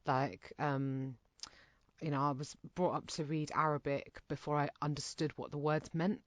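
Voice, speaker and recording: A woman's voice: low voice, level tone, moderate pace, no echo. A woman interviewing a participant in a casual chat, thoughtful, working through ideas at length.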